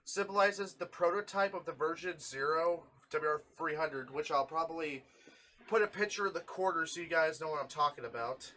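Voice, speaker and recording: A man's voice: fairly high pitch, normal speed, bright, forceful tone, rough recording. A man hosting a casual hobby show-and-tell, chatting informally with friends. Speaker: video host showing a radio collection.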